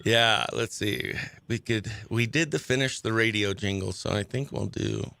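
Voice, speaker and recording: A man's voice: lowish voice, normal speed, vocal fry, pushed and bright. A man hosting a live morning radio show, chatting exuberantly and slangily as he runs giveaways and phone-in games.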